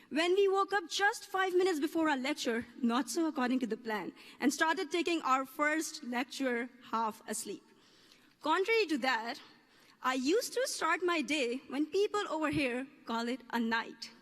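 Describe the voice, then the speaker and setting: High-voiced woman, amplified in a hall. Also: a bit bright. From Pakistan. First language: Urdu. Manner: earnest with playful humor, careful formal phrasing. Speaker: student speaker. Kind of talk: graduation speech. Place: ceremony hall on stage.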